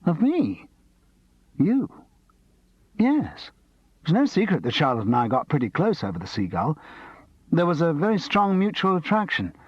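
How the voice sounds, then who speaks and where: A man voicing a vain, theatrical young male actor who talks with arrogant self-importance, husky and a bit muffled. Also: fairly high pitch, huge pitch swings, moderate pace, pauses often, no echo, pitched above the performer's own voice, heavily acted. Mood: overwrought.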